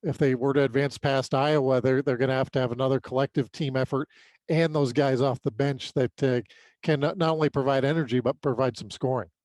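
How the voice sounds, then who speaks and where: An American man co-hosting a sports podcast, giving an unscripted recap in a halting way with repeated words. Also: medium pitch, fairly even intonation, darkish tone, no echo.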